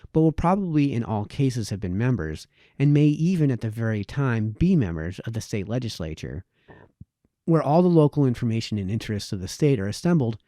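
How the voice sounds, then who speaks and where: A man reading a formal historical essay aloud as narrator, in a deliberate, expository manner with varied emphasis. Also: medium pitch, mellow, no echo, studio quality. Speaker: reader, narrator.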